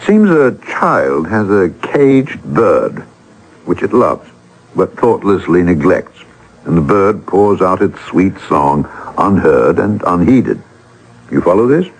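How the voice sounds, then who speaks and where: A reflective older man spinning a story in a radio play. His voice sits fairly low and moves expressively up and down. He is theatrical and takes his time, pausing for effect. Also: big pitch swings, husky, exaggerated voice, low-quality recording. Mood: reflective, mournful. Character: reflective older gentleman, once a starving artist, storytelling. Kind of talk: vintage radio drama acting.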